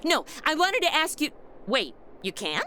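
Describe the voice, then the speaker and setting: Actress as sarcastic modern girl in a radio comedy, slangy, high voice, really animated. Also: moderate pace, slight background noise, heavily acted. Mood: wry, chatty. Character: sassy modern young woman stranded in retro radio sci-fi. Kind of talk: comedic radio drama acting.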